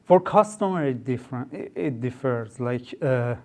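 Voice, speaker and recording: A man's voice: mid-range voice, expressive, normal speed. A young man with a Persian accent, a podcast guest who explains things in a conversational way, with many fillers and restarts. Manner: hesitant, many fillers, rephrases mid-sentence. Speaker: guest, startup founder. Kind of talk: conversational interview.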